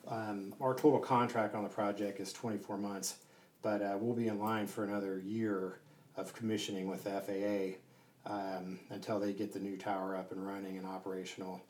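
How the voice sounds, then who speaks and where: A man answering interview questions as a construction team leader, talking conversationally and haltingly as he thinks aloud. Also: lowish voice, little pitch movement, darkish tone. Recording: roomy echo, distant mic, faint noise.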